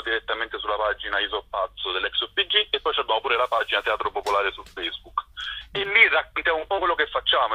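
A man's voice: mid-range voice, breathy edge. A man, a theatre director being interviewed, explaining things spontaneously in a discursive, enthusiastic way. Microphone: telephone. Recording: muffled, roomy telephone line on radio.

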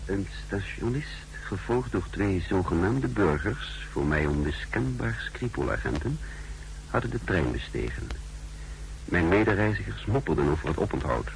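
An actor playing a cool, calculating secret agent who narrates his mission in a measured, introspective way in a 1960s radio drama. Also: low-pitched, lively intonation, gravelly, poor audio. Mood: cool, watchful. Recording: hissy 1960s radio recording.

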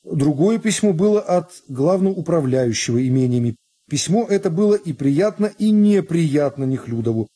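Measured male literary narrator, softly mellow. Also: on the high side, animated. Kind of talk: literary scripted narration for radio.

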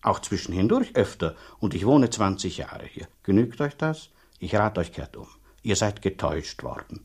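An actor in a radio play voicing a blunt, talkative rural dean, sceptical and condescending, speaking in a husky, halting, emphatic way. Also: male voice, fairly low pitch, sing-song, a little mellow, heavily acted. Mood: incredulous, insistent. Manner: halting, emphatic, repeats himself. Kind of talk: German radio drama acting.

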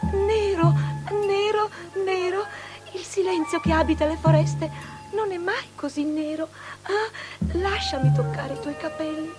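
A very high female voice over loud music, an obsessive young princess. She declaims like poetry, sensual and imperious, lingering on repeated words in theatrical radio drama. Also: slow. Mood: obsessive desire. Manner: incantatory, lingering repetitions.

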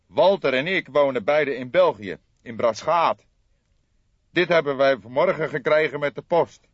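A man voicing a violent gangster in an audiobook, speaking with emphatic, deliberate menace and indignation. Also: a little high, no echo, muffled recording, voice pushed up. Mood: tense. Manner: agitated, emphatic, deliberate, threatening. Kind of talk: dramatised audiobook character reading.

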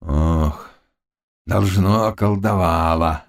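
A man's voice: mid-range voice, animated, moderate pace, gravelly, voice pushed up. A man voicing a fierce, lovesick Cossack chieftain in an audiobook, shifting between brooding mutters and anguished cries. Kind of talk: audiobook character dialogue.

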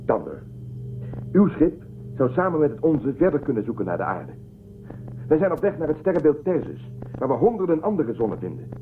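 A man's voice: fairly high pitch, sing-song, a little fast. A man playing an earnest starship commander in a science-fiction radio drama, questioning and persuasive in his delivery. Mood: persuasive, puzzled. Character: earnest young male starship commander searching for Earth. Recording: narrow-band muffled audio, faint music.

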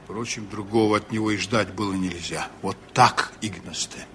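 An actor playing a seasoned, honest sea captain in a reflective, measured way, in a 1980s Soviet radio drama. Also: male voice, on the low side, fairly bright tone, close to an everyday voice. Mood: grim, resigned. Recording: noisy old radio play recording.

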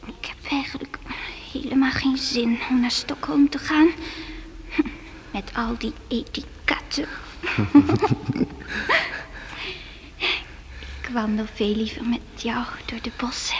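A woman's voice: on the high side, steady tone, a little slow, in a whisper. An actress playing a playful, headstrong young queen with a childlike manner in a Dutch radio play. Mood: playful, confiding. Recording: noisy old radio play recording.

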